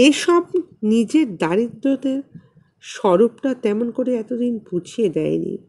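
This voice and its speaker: A woman narrates literary fiction in a soft, mellow tone. Her mid-pitched voice moves slowly, with deliberate pauses and expressive phrasing, in a scripted audiobook style.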